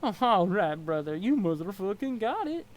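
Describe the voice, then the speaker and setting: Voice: medium pitch, very animated, moderate pace, natural voice. A reader voicing a laid-back, foul-mouthed male troll clown with a lazy drawl. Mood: easygoing.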